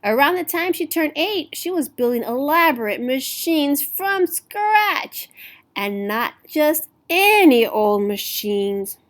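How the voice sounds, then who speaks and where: A woman storyteller reads a picture book to children. Her voice sits fairly high and she takes her time, very slowly and deliberately. She stresses key words in an expressive storytelling style. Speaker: storyteller reading aloud to children.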